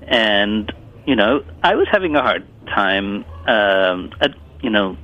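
A man's voice: on the low side, very animated, slow pace. A man from Italy whose first language is Italian, a cookbook author speaking over the phone in a casual interview, hesitant with many fillers and restarts. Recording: dull muffled phone line.

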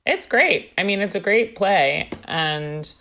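A woman's voice: medium pitch, expressive, takes it slowly, sharp tone, a little hiss or hum. A woman co-hosting a casual podcast, reading from her notes with wry, jokey asides. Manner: wry, jokey, playfully conspiratorial. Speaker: podcast co-host.

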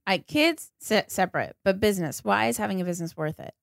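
A woman's voice: mid-range voice, lively intonation, fast, creaky, no room sound, studio quality. A woman hosting a podcast interview, asking questions in an informal, encouraging, conversational way.

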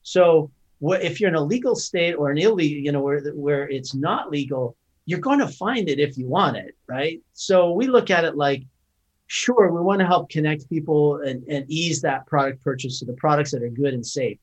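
A man's voice: a little high, expressive, moderate pace, breathy edge. A middle-aged man from Canada with a Canadian accent, a podcast guest explaining his views at length in an earnest way with frequent restarts. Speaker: guest, cannabis company founder. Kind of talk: casual podcast conversation.

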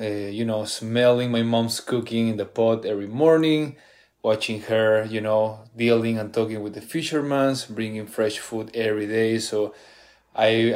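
Peruvian-accented chef guest, low-pitched male voice, eager and chatty. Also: a little mellow. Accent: Peruvian Spanish. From Peru. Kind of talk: casual podcast interview.

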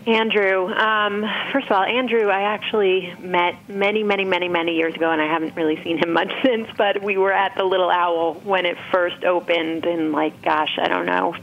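A woman's voice: on the low side, steady tone, normal speed, vocal fry, hardly any pauses, dull audio. A woman chatting casually as a podcast guest, in a rambling, informal way. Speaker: podcast guest, food writer. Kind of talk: casual chat.

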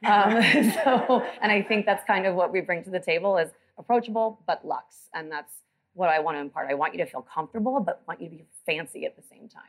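A woman's voice: lowish voice, fairly quick. A woman restaurant manager speaking casually and self-deprecatingly on a live panel.

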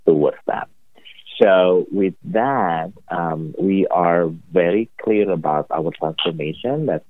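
A man's voice: low voice, no echo. A man from Indonesia with an Indonesian accent, a company executive answering interview questions earnestly with many fillers. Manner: earnest, filler-heavy, upbeat. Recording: tinny phone-line audio.

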